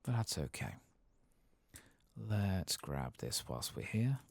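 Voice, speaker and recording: A man softly murmuring low-key ASMR game commentary to himself, breathy and gravelly, often slipping into a whisper. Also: big pitch swings, takes it slowly. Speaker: streamer playing a video game.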